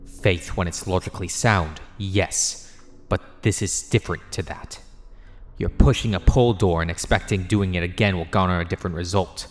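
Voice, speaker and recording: A man's voice: low-pitched, steady tone, moderate pace, big-room sound, close to an everyday voice. A man voicing a stern, disembodied voice in someone's head for an audio drama, cold, logical and accusing. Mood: scornful.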